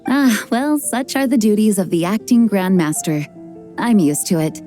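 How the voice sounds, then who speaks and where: A woman's voice: medium pitch, normal speed, over music, lightly acted. A woman playing a dutiful, gracious young knight commander in a video game, polite and earnest. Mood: modest, earnest.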